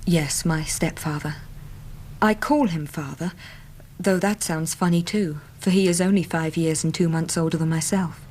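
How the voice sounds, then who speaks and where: An earnest, low-voiced young English typist. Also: female voice, fairly even intonation, normal speed, darkish tone, poor audio. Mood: indignant, candid. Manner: earnest, chatty, plain-spoken. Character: earnest, talkative young female Victorian typist seeking help. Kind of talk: radio drama acting.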